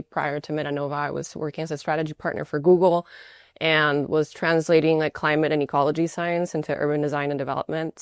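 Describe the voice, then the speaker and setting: Woman from peru, podcast guest, low voice, smooth and clear, dry close sound. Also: no room sound. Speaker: co-founder, guest. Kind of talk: podcast interview.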